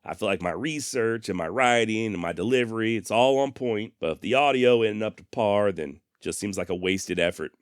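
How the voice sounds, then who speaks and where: Deep-voiced man, clean close podcast sound. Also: animated, a little fast, hardly any pauses, clean tone, dry recording. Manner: plainspoken, mildly profane, emphatic. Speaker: podcast host. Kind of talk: casual direct address to listeners. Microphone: close dynamic studio microphone, processed.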